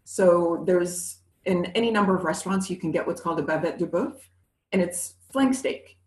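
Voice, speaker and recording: A woman's voice: on the low side, moderate pace. A woman from New City, talking candidly and with animation as an interview guest. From New York City. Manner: candid, animated, laughs easily. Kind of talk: conversational interview. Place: living in Montreal. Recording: roomy echo.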